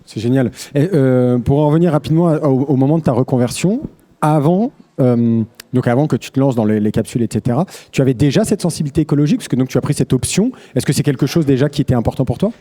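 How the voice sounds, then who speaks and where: A man's voice: medium pitch, lively intonation, warm tone. A man from the Paris region interviewing a guest in a casual, friendly way. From Paris region of France. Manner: friendly, quick back-channel replies.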